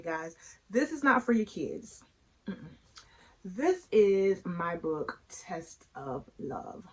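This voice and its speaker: Slow-paced woman, playful story-time host. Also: medium pitch, rough recording. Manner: playful, conversational, emphatic with dramatic pauses. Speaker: author and read-aloud host. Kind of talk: chatty vlog intro and expressive book read-aloud.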